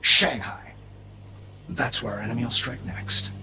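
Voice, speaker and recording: A man's voice: medium pitch, measured pace, sharp tone, slight background noise. An actor playing a cocky, eccentric male artificial intelligence engineer in a video game, brash, theatrical and rambling. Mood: excited, rambling.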